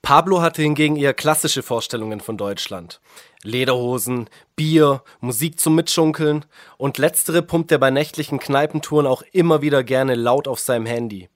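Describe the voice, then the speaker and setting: A man's voice: medium pitch, normal speed, no room sound, clean studio sound. Man narrating a radio feature. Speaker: reporter.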